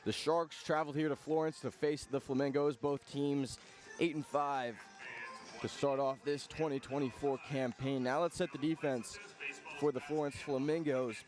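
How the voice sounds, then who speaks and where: A man's voice: medium pitch, fairly even intonation, moderate pace, darkish tone. Male radio baseball announcer over music. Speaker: play-by-play announcer. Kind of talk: live radio baseball commentary.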